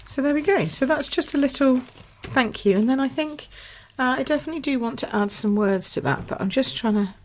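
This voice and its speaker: A woman from the UK, slightly croaky, demonstrating a papercraft project at her table in a chatty, think-aloud way. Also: high voice, fairly quick, few pauses, slight background noise. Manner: chatty, thinks aloud with fillers and small apologies. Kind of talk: casual craft tutorial. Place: indoors at a craft table.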